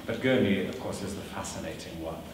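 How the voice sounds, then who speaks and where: Welsh-first-language guy talking thoughtfully about his craft, lowish voice, echoey far-off hall, noisy. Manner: measured, thoughtful, with many fillers and restarts. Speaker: performer giving a talk. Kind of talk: lecture answering audience questions. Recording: echoey hall recorded from a distance.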